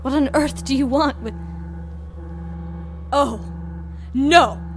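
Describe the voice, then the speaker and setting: High-voiced, scornful lady scientist. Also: slow pace, slight background noise. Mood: outraged, sarcastic. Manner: scornful, emphatic, sarcastic. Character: haughty, furious female scientist, sharp-tongued and self-righteous. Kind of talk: audio drama acting.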